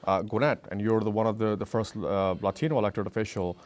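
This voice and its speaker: A man's voice: on the low side, steady tone, a little fast, darkish tone. A man hosting an interview, asking questions with hesitant fillers.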